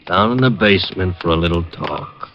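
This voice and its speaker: Casting: surly slang-talking crook, vintage radio crime drama, low pitch, dull audio with some hiss. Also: male voice, lively intonation, normal speed. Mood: curt, menacing. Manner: tough, slangy, curt. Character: menacing, surly male street thug. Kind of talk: 1940s radio acting. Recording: muffled, noisy vintage radio recording.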